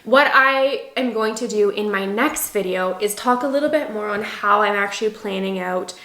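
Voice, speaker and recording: A woman's voice: medium pitch, normal speed, sharp tone. A woman vlogger talking candidly and emotionally to her viewers, with frequent "like" fillers. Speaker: lifestyle vlogger, business owner. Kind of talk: candid personal vlog, talking to camera. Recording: echoey room.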